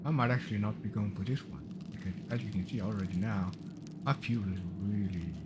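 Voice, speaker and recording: A man from Sweden with a Swedish accent, casually commenting on a live game stream in a rambling, halting way as he plays. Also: fairly low pitch. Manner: rambling, halting, explaining while playing. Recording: loud ship engine noise behind.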